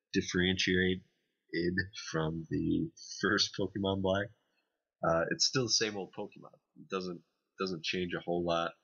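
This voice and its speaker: A man co-hosting a podcast, giving a casual video game review in a hesitant, explanatory way with many pauses. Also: low-pitched, gravelly, a bit bright, husky, lo-fi sound. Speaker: podcast co-host reviewing games.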